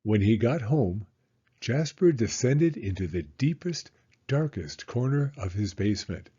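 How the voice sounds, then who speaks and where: A man reading a children's story aloud in a theatrical, suspenseful way with drawn-out emphasis. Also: on the low side, lively intonation, warm tone, slightly rough, highs cut off.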